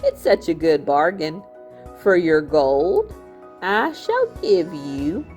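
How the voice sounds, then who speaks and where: A woman's voice: low-pitched, sing-song, takes it slowly, darkish tone, lo-fi sound, soft background music, heavily acted. A woman voicing a greedy, fat older miller in a storybook, a smug villain with a falsely genteel, gloating manner. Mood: sly, menacing.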